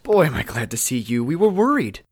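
An actress playing a genial, concerned member of a household in an audio drama. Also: female voice, a bass voice, sing-song, a little fast, darkish tone, slightly rough, no room sound, clean studio sound, heavily acted.

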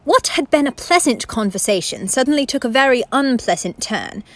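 A woman narrating a radio play as a formal, philosophical storyteller with a measured, lecturing manner. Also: sits high, lively intonation, no room sound. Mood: formal, reflective. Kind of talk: scripted literary narration.

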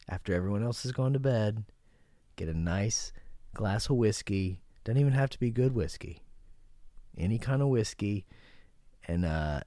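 Brief: man, 40, low-ish easygoing voice, chuckles through his stories, dry close mic. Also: warm tone, no echo. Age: 40s. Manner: laid-back, laughs often, drawn-out anecdotes. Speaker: podcast guest. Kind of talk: casual podcast chat.

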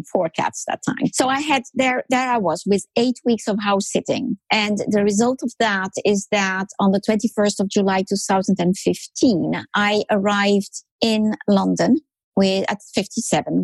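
French-raised woman in her 60s, kinda husky, dry close sound, tells her story with punchy repeats. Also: medium pitch, no room sound, low-quality recording. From France. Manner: emphatic, repeats words for rhythm. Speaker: podcast guest. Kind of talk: storytelling interview talk.

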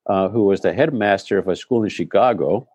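A low, mellow-voiced middle-aged man from Spain, a native Spanish speaker, telling his story in an easygoing interview. He circles back and restarts phrases as he thinks. The sound is dry and close. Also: brisk, husky, no echo, muffled recording. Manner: reflective storytelling with frequent restarts. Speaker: head of school, guest. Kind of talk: conversational interview.

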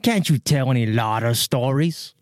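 A man's voice: medium pitch, normal speed, dry recording, studio quality, close to an everyday voice. A man playing a dismissive, bored rat in an audio storybook, speaking bluntly.

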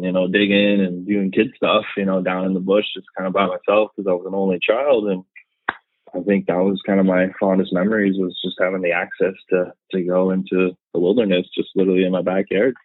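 A man's voice: low-pitched, level tone, fairly quick, no room sound. A man in his thirties with a Canadian accent, a podcast guest chatting casually in a rambling way with lots of fillers. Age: 30s. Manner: rambling, many fillers and laughs. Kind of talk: casual chat. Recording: tinny phone-like line.

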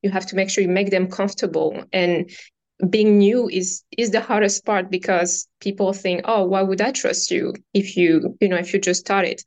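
Woman, French accent, podcast guest, earnest, fillers, repeated phrases. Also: medium pitch. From France. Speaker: podcast guest, brokerage founder. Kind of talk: casual interview answers.

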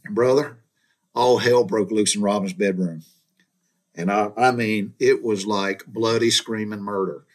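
A man's voice: fairly low pitch, steady tone, a little slow. Rambling middle-aged man telling stories. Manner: rambling folksy storyteller, chuckles and mild cursing. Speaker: podcast host. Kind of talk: casual storytelling monologue.

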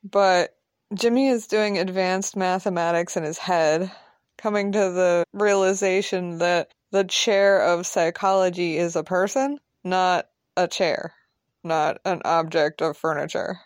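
A woman narrating a scripted audio drama as a storyteller, in a measured manner. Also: on the low side, steady tone, no echo, studio quality.

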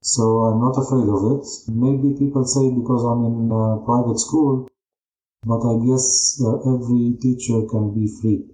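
A man in his thirties from Jaffa with a Palestinian Arabic accent, answering interview questions in deliberate, phrase-by-phrase non-native English. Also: mid-range voice, little pitch movement, dark tone. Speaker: history teacher, interview guest. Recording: roomy echo.

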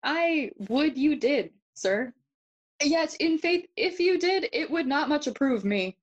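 A woman playing a brooding, mocking young Shakespearean prince in an audio play, theatrical and deliberate, moving between English and Spanish. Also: high-pitched, lively intonation, muffled recording. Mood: mock courteous.

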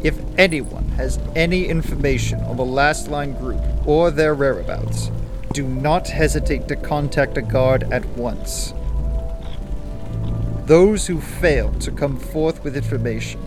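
Evil overlord guy man acting doing a formal broadcast, measured and pompous, music under it, sci-fi audio drama. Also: medium pitch, lightly acted. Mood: coldly reassuring. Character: tyrannical male sci-fi overlord, smoothly menacing and formal. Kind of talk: propaganda broadcast announcement, radio drama acting.

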